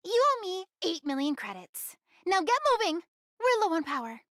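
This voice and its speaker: A woman's voice: very high-pitched, sing-song, normal speed, fairly bright tone, no room sound, clean studio sound, full character voice. A woman voicing a bossy, sly young woman in an anime game, commanding and teasing in manner. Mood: suspicious, bossy.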